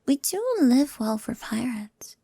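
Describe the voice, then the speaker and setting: Husky, breathy pirate captain played by a woman. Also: fairly high pitch, a little slow, mellow, dry recording, heavily acted. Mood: possessive, proud. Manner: taunting, sultry, often dropping into whispers. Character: jealous, dramatic, possessive female pirate captain. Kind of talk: scripted ASMR roleplay.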